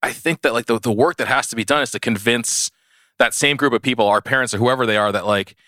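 A man's voice: mid-range voice, fast, bright, forceful tone, dry recording, clean studio sound. A man co-hosting a podcast, explaining his view casually and peppering it with "like". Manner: explanatory, frequent "like". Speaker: podcast co-host.

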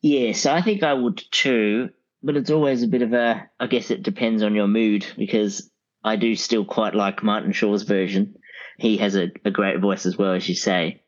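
A man speaking as a guest reviewer in a casual, halting, conversational way. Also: fairly low pitch, fairly even intonation. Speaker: audiobook expert guest. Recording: dull, lo-fi sound.

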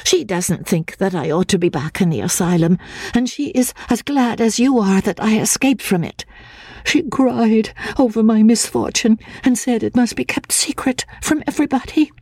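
Frail, troubled young woman: high, airy, hesitant, childlike, for a classic audiobook. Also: lively intonation, mellow, husky, no room sound. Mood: anxious, earnest. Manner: halting, stammering, repeating words anxiously. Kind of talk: dramatic audiobook reading of classic literature.

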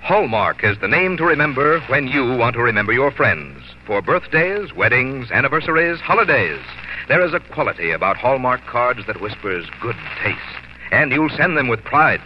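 Animated American male vintage radio announcer. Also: mid-range voice, moderate pace, a little hiss or hum. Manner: polished, declamatory. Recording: muffled 1940s radio broadcast. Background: loud music.

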